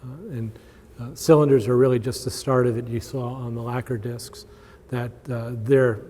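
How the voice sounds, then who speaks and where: A man's voice: medium pitch, mellow. A man presenting at a conference, talking informally and answering questions from the audience. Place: large indoor conference room with long reverb. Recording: reverberant hall.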